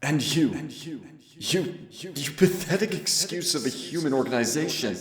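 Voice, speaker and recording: A man playing a proud, teasing demon of royal blood in an audio roleplay, with a gravelly, husky, intimate and theatrical delivery. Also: lively intonation, measured pace, crowd noise. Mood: furious, grieving. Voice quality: gravelly, husky, breathy low voice. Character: teasing male demon roommate, winged, proud royal, protective and wounded. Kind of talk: audio roleplay acting addressed to the listener.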